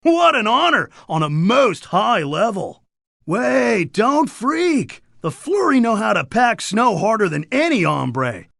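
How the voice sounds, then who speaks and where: An actor playing a laid-back, boastful surfer dude creature in a cartoon game, talking in upbeat beach slang. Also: male voice, high voice, big pitch swings, takes it slowly, creaky, a bit bright, dry recording, clean studio sound, heavily acted. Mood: chilled, admiring. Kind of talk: animated game character dialogue.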